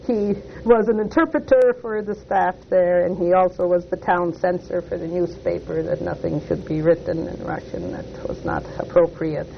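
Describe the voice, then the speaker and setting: An older speaker from Detroit, whose was Polish, tells a family story slowly and hesitantly. The voice is gravelly and sits at a middling pitch. It comes through a muffled, lo-fi recording with steady audible hiss. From Detroit, United States. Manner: hesitant, with frequent "uh" pauses and self-corrections. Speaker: oral history interviewee. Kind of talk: slow, reflective life-story telling. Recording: muffled lo-fi tape with clear hiss.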